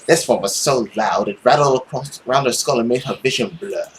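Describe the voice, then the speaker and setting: A man's voice: mid-range voice, brisk. A young man reading visual novel narration aloud as a gaming host, dramatic, with casual commentary, on a headset mic. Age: young adult. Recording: faint background noise.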